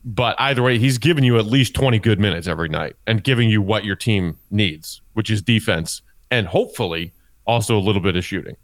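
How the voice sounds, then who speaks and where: A man's voice: lowish voice, brisk. A man co-hosting a sports podcast, casually explaining his analysis as he thinks aloud.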